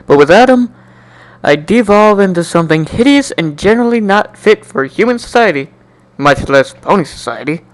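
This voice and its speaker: A man doing an amateur dramatic reading of a first-person story in an animated, jokey way, now and then stumbling and repeating words. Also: fairly high pitch, clear, resonant tone, no echo. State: coughing, slightly sick. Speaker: amateur fan fiction reader.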